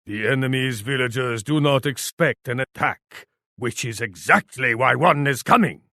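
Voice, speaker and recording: Gravelly, haughty warlord. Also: male voice, medium pitch, normal speed, pushed and bright, no room sound, studio quality. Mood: grim, resolute. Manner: imperious, formal, speaks of himself in third person. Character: proud, haughty Japanese warlord commanding his armies. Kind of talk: video game character voice lines.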